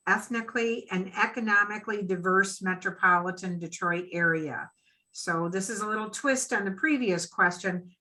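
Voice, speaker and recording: A woman's voice: medium pitch, sharp tone, poor audio. A woman formally moderating a forum and reading out questions to candidates.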